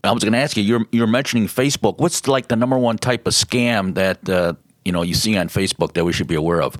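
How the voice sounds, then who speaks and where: A man's voice: on the low side, fast, dry recording. A man, casually interviewing as a podcast co-host.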